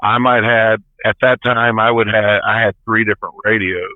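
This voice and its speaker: A man's voice: on the low side, fairly even intonation, moderate pace, no room sound. A plain-spoken, folksy man in his fifties telling stories casually as a truck driver being interviewed. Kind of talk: casual storytelling. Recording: tinny phone-like line.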